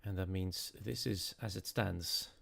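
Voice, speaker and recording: A man's voice: low voice, fairly even intonation, a little slow, gravelly, fairly bright tone. A man with a Dutch accent, speaking English as a non-native, giving live commentary as an esports racing commentator. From Netherlands.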